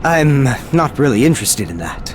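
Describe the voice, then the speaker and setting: An actor playing an earnest, idealistic young scientist in an anime game, speaking in a measured, formal way. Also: male voice, mid-range voice, sing-song, background noise, natural voice. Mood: modest. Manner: measured, formal, soft-spoken resolve. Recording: loud music behind the voice.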